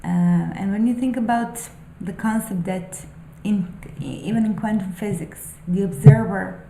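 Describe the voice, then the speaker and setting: A woman speaking English as a second language muses about spiritual questions, halting and thoughtful with frequent fillers. Her voice is mellow and unhurried. The room sounds echoey, with a faint hum of ambience behind her. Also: medium pitch, vocal fry. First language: not English. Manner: thoughtful, halting, many ums and restarts. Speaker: spiritual vlogger. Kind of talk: unscripted musing monologue.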